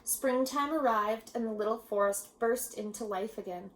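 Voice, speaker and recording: A woman reading a children's picture book aloud in a measured, expressive storytelling manner with pauses. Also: on the high side, a little hiss or hum, rough recording. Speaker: storybook reader. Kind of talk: children's read-aloud narration.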